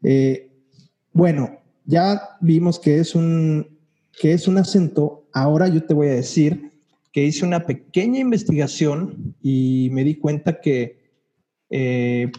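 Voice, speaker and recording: A man from Mexico City with a Mexico City accent, co-hosting a casual chat and explaining things in a relaxed way. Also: a little high, takes it slowly. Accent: Mexico City Spanish. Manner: explaining, relaxed, asks questions. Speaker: podcast co-host.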